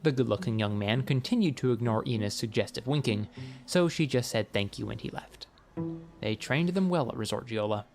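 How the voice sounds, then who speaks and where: A man reading scripted fiction as an audiobook narrator, with a wry, expressive storytelling manner. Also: medium pitch, a little fast, vocal fry, soft background music.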